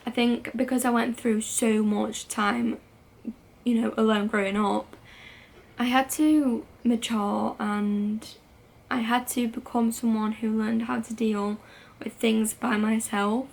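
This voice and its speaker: Teenage woman with vocal fry. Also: a little high. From United Kingdom. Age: late teens. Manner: candid and chatty, with frequent "like" and "um" fillers. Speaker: vlogger. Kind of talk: casual confessional talk to camera.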